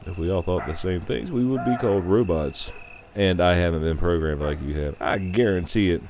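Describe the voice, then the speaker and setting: A man's voice: low-pitched, normal speed, noisy background. A man hosting a radio-style show with a casual, sarcastic, profane and opinionated rant.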